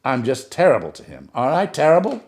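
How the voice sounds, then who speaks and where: A man's voice: mid-range voice, huge pitch swings, quick pace, a little mellow. A man reading a short story aloud, voicing a chatty, gushing society woman who talks in a breathless stream and keeps praising herself.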